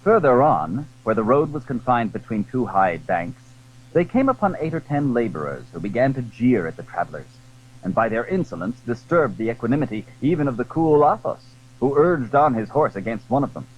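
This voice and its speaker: A man's voice: mid-range voice, sing-song, brisk, lo-fi sound. A man narrating a classic adventure novel in dramatic, scripted storytelling style.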